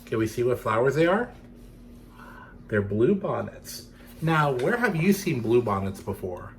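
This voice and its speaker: Male children's storyteller, medium pitch, dramatic careful phrasing, speaking to young listeners over light ambience. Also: big pitch swings, measured pace, a little hiss or hum. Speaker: storyteller for children's theatre series. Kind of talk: expressive children's storybook reading.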